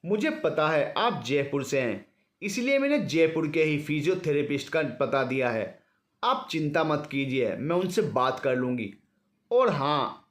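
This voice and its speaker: A female doctor, played by a male narrator in an audio story. The delivery is polite and reassuring, explaining patiently in a slightly high, varied voice. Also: fairly bright tone.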